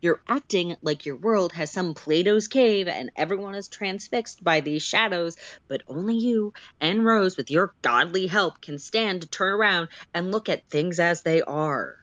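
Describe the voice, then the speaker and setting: A woman's voice: on the low side, unhurried, hardly any pauses, a bit bright, no echo. A woman playing an exasperated, sweary sylph philosopher who lectures and argues sarcastically in an amateur story reading. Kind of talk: amateur audio fiction read aloud live.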